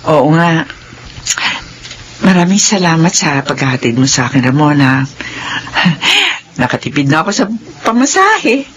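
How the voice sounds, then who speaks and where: A woman plays her scenes in a classic radio drama with a voice that rises and falls sharply. She scolds in a lively way. Faint hiss sits under the recording. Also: low voice, moderate pace, pauses often. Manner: lively, chiding mother and older-sister tones.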